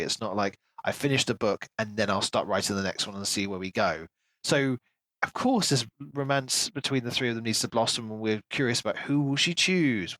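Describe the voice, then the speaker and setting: A man's voice: medium pitch, fairly bright tone, dry recording, rough recording. A man co-hosting a casual book discussion, giving his opinions in an analytical way. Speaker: podcast co-host.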